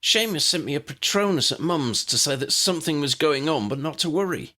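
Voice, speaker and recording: Man voicing a fiery young woman, pitched up. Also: animated, a little fast, sharp tone. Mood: worried. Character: fiery, affectionate young woman, anxious but bossy. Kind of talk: audiobook character dialogue.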